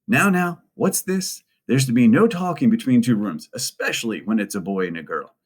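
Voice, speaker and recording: A man's voice: medium pitch, animated, normal speed, husky, pitched above the performer's own voice. A man voicing a stern, bossy nun who scolds children in a storybook reading. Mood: scolding. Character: stern scolding nun in a hospital, adult woman.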